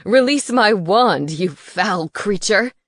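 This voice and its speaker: A woman voicing an arrogant, menacing young male wizard who talks loudly and with contempt. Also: on the low side, lively intonation, moderate pace, dry recording, clean studio sound. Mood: furious. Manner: loud, sneering and forceful.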